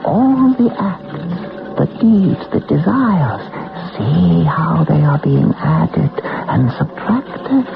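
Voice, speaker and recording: A woman's voice: low-pitched, sing-song, extremely slow, vocal fry, music in the background, full character voice. A woman playing a solemn, mystical old being who alters fate, speaking in a portentous, incantatory way in a vintage radio drama. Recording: muffled noisy old radio broadcast.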